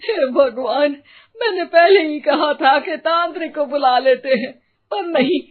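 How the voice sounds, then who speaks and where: A woman acts out an old, superstitious village mother-in-law in a story. She is high-voiced, plaintive and scolding, drawing her words out slowly. The sound is thin and phone-like. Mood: alarmed. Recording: tinny phone-like narrow band.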